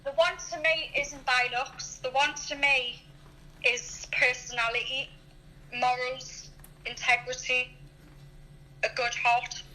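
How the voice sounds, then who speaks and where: A woman's voice: sits high, level tone, slow, pauses often, sharp tone, rough recording. A woman in her early thirties from Newcastle with an English accent, chatting candidly and earnestly about herself. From Newcastle, England. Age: early 30s. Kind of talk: candid personal chat.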